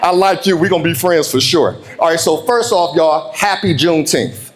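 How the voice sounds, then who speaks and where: A man's voice: fairly high pitch, lively intonation, normal speed. An American man speaking informally and directly to an audience as he gives a motivational keynote talk. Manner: conversational, informal, addresses listeners directly. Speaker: keynote speaker, entrepreneur coach.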